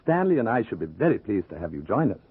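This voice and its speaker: An actor playing a suave, dryly patient gentleman adventurer, courteous and wry, in old-time radio style. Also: fairly low pitch, very animated, fast. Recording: tinny, narrow-band old radio recording.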